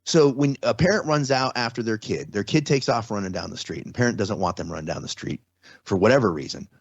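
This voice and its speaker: A middle-aged man in relaxed conversation who rambles and restarts his sentences as he works toward his point. The recording is dry and a little dull. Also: fairly low pitch, a little fast, vocal fry, slightly rough, no echo. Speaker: podcast guest. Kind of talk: casual conversation. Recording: slightly dull, dry sound.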